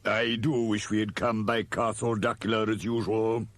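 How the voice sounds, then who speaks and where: A man plays a gloomy manservant with a deep, husky voice. He is formal and frosty, dryly deferential with a note of scorn, as in a storybook reading. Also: big pitch swings, slow, no echo, exaggerated voice. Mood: peeved.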